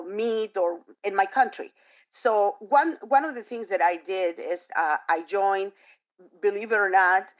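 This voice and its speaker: Earnest woman on a dull video call. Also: mid-range voice. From outside the United States. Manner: expressive and earnest, many fillers. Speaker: panelist. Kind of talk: panel discussion on a video call.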